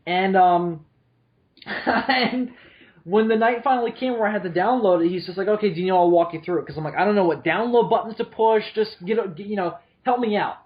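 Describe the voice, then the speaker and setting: A young man from New York with a light New York accent and a high-pitched voice talks casually, telling stories with plenty of ums and restarts. The audio is muffled and lo-fi. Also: a little fast. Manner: rambling storyteller with many fillers and restarts. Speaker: podcast host. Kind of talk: casual chat.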